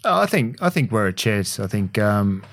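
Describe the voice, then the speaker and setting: A man in his forties with an Australian accent and a fairly low voice, recorded dry and close. He gives his views as a pundit in a measured, plain way. He pauses with small hesitations before he commits to a point. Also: very animated, fairly bright tone, no room sound. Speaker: former footballer, pundit. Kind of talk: casual sports punditry.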